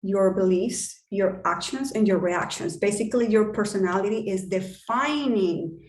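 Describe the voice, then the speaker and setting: A woman with a Spanish accent leads an online coaching workshop, talking eagerly and chattily with plenty of ums. Her voice sits at a middle pitch and comes through a video call with a noticeably roomy echo. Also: normal speed, darkish tone. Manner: enthusiastic, chatty, with many fillers.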